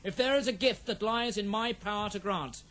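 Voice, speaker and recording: Man playing a high-voiced noble warrior prince. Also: moderate pace, a bit bright. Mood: proud, stirring. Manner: declamatory, formal, stately. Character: proud, noble warrior prince, loving father. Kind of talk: fantasy audio drama acting.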